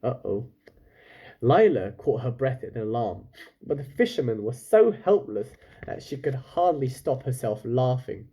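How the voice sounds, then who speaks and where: A man's voice: mid-range voice, very animated, a little slow, warm tone, slightly rough. A man reading a children's book aloud expressively, stopping now and then for casual, chatty asides to his listeners. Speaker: storyteller, reader.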